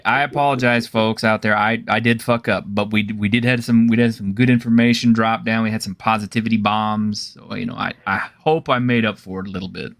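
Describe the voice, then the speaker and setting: A man's voice: fairly low pitch, a bit bright. A man hosting a livestream panel, casual and joking, swearing freely. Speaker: host.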